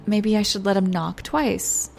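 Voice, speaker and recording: Woman acting flustered young pop star, slow and halting. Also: mid-range voice, faint music behind. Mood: giddy, anxious. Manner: flustered, halting, self-interrupting, breathy sighs. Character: nervous young female pop star, giddy and lovesick. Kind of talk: intimate scripted audio roleplay.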